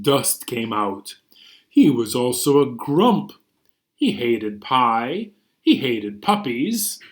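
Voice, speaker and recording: A man hosting a children's storytime, reading and singing playfully and expressively with sudden emphasis. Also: a little high. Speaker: children's storytime host, librarian reader. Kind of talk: animated storytelling and songs for young children.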